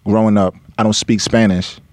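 A man's voice: fairly low pitch, little pitch movement, moderate pace, darkish tone, dry recording. A man with a Guyanese accent, a guest in a casual chat, talking in a laid-back, confident, joking way.

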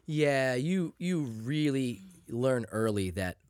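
A man's voice: medium pitch, animated, slow, a little mellow, clear, resonant tone, no echo, clean studio sound. Wry man hosting a casual podcast. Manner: wry, irreverent, interjecting. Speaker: podcast host. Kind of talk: casual chat.

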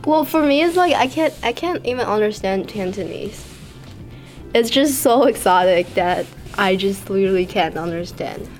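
A young woman talks casually, filling her sentences with "like", her pitch mid-range. Music plays faintly behind her. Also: animated, normal speed. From Beijing. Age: young adult. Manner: casual, lots of "like". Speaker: journalism student. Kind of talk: interview.

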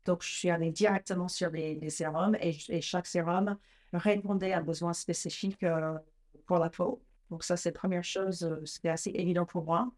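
A woman's voice: lowish voice, fairly even intonation, normal speed, a little mellow. A woman in her forties with an American accent, a brand founder talking in a casual interview, chatty with frequent restarts. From United States.

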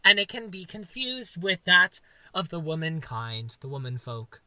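A young man with a very high voice, co-hosting a casual chat and joking in a campy, playfully dramatic way. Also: sing-song, unhurried, pushed and bright. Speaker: podcast co-host.

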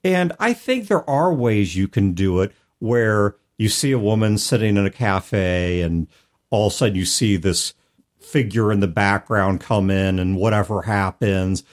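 Male podcast co-host and game master, slightly deep voice, conversational and explanatory. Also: a little slow. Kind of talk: casual discussion of tabletop game storytelling.

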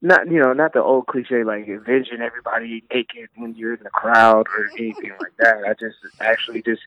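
A man in his thirties calling in to a podcast, talking in a relaxed, easygoing way. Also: mid-range voice, brisk. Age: 30s. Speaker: podcast guest on a phone call. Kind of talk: casual phone chat. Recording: dull dry phone-call audio.

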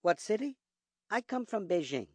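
A man's voice: high-pitched, very animated, normal speed, dry recording. A man playing a polite radio caller from China in scripted English-teaching dialogue. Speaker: phone-in caller.

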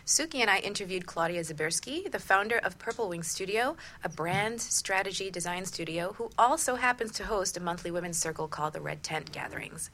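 A woman with a fairly low voice speaks as a talk radio host and relationship coach. Her delivery is that of a partly scripted show presentation. Some noise can clearly be heard behind her. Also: expressive, a little fast, hardly any pauses, bright, forceful tone.

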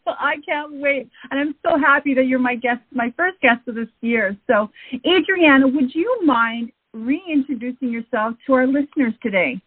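Effusive woman host with a breathy voice. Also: high voice, unhurried, dry recording. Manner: enthusiastic, effusive. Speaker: show host. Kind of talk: podcast interview hosting. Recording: phone-like narrow audio.

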